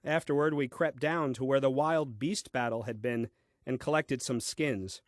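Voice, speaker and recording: A man's voice: medium pitch, moderate pace, dry recording, natural voice. An actor playing a grumbling, self-important first man reading his diary in a comic audio play, with dry, fussy delivery. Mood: dryly pompous.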